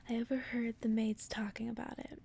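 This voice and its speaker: Female ASMR reader: hushed whisper, mellow and creaky, close-miked, soothing bedtime delivery. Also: a little high, little pitch movement. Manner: hushed whispering, soothing slow repetition of phrases. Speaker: ASMR host and book reader. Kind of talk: whispered ASMR, casual chat and bedtime story reading. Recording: faint background noise.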